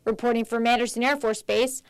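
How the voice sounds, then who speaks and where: A woman's voice: fairly high pitch, fairly even intonation, a little fast, clear, resonant tone, no room sound. A woman delivering scripted television news reports as a field reporter.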